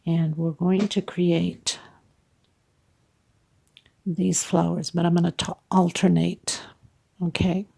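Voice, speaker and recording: A woman's voice: fairly low pitch, flat delivery, slow pace, creaky, pauses often, dark tone, husky. A woman with a congested, stuffed-up voice, informally explaining a craft as a tutorial host. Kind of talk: casual spoken tutorial.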